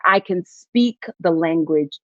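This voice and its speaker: A woman from Nigeria talks in an earnest, reflective way as a guest on a podcast. Her voice is on the low side, recorded dry and a little dull, as if over a modest mic. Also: slow, fairly bright tone, no room sound. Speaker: physician and investor guest. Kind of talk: podcast interview.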